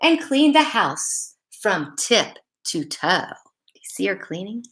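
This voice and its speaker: A woman's voice: mid-range voice, very animated, slow, fairly bright tone. A woman reading bedtime stories and chatting to young children, often putting simple questions to them. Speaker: school story-time host reading to children. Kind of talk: read-aloud bedtime storytelling and chat to children.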